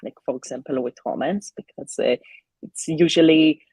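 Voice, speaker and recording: Woman, live stream co-host, mellow tone, rough-edged clarity, chatty. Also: low-pitched, normal speed, no room sound. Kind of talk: casual live chat.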